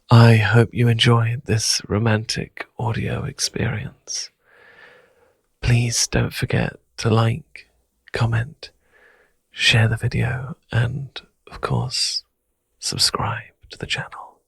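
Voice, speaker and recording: A British man speaks as an ASMR host in a very breathy whisper with gravelly creak. He takes his time, addressing listeners directly in a close, dry recording. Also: mid-range voice, no room sound. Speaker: channel host and audio creator.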